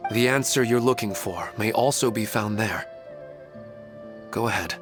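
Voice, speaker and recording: Actor as humble gentle father, lowish voice, polite and measured, game dialogue over music. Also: natural voice. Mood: resigned guiding. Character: gentle, humble family man, polite and resigned. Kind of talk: video game voice acting. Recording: music clearly behind the voice.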